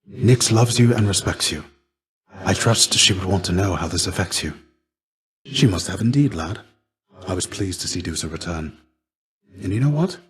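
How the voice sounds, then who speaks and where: A man playing a weary, gentle warrior mentor in a video game, speaking in a measured, formal, earnest and fatherly way. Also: low voice, lightly acted. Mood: gentle concern. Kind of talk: scripted video game voice acting.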